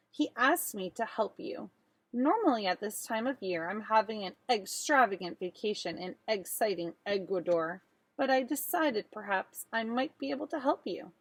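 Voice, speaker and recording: A woman's voice: medium pitch, dry recording. A woman voicing a haughty, fussy male Easter bunny in a storybook, formal and reproachful.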